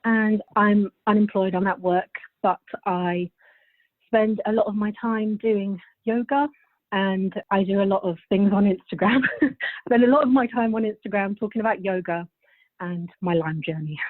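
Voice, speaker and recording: A woman's voice: mid-range voice, moderate pace, dry recording. Young woman on a dull, dry connection. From Bulgaria, moved to England as a child. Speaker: podcast guest. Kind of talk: casual interview chat.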